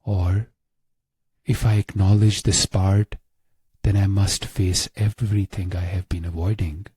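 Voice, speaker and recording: A man narrating a guided meditation in a hushed, measured way with long pauses. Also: low voice, little pitch movement, breathy edge, dry recording.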